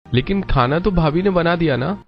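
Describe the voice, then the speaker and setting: A man's voice: on the high side, normal speed, muffled recording, close to an everyday voice. A man playing a dutiful, downhearted young married son in a cartoon family drama, mild and earnest. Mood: troubled.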